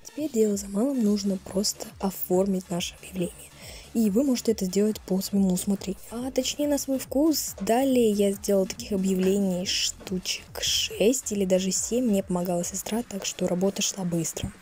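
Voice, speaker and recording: A teenage girl narrating a craft vlog in a casual way, her voice hoarse from a cold. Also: mid-range voice, normal speed, warm tone. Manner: casual, chatty. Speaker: vlogger. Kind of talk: voice-over narration.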